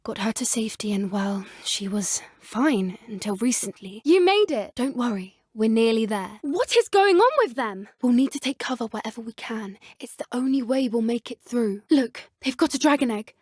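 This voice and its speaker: A woman with a British accent voicing an earnest, gentle, soft-spoken teenage schoolgirl witch who loves magical creatures, for a video game. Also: fairly high pitch, lively intonation, normal speed, hardly any pauses, breathy edge, no echo, studio quality. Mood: urgent.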